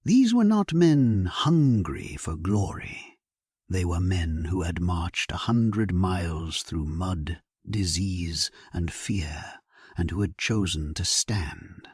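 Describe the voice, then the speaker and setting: Sleep-story narrator: male actor as resolute, solemn king, low and breathy, unhurried, very dry close sound. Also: animated, a little mellow, no echo, full character voice. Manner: solemn, measured, dramatic delivery. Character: grave, resolute English king at war, narrating his memoir. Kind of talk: scripted first-person sleep-story narration.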